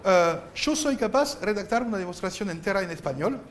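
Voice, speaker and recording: A man whose first language is French, lecturing a university math class and explaining each step with halting restarts. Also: high-pitched, lively intonation, noisy background. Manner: explains step by step, insistent, gently scolding; halting, restarts while writing on board. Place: lecture hall.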